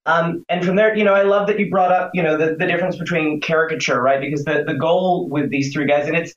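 A man's voice: a little high, steady tone, quick pace. A man, a stage actor, answers an interview question at length, talking animatedly with many restarts and fillers. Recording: distant roomy muffled sound.

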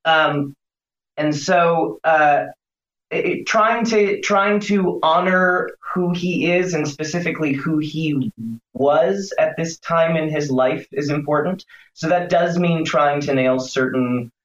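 A man talks through a long interview answer, restarting sentences and piling on fillers as he goes. He sits away from the mic, so his voice sounds distant and muffled, with a roomy echo around it. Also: a little high. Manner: animated, rambling, many restarts and fillers. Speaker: stage actor, interview guest.

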